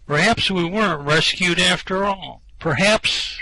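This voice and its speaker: Hapless space castaway for radio comedy, played by a man: anxious Englishman, sharp forward voice, slow, plaintive delivery. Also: high voice, no echo, full character voice, poor audio. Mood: bewildered, glum. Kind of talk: comic science fiction radio play acting.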